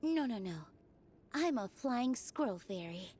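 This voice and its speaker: A woman's voice: a little high, very animated, unhurried, mellow, soft background music. A woman voicing a sweet, theatrical flying squirrel fairy in a video game. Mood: friendly, playful.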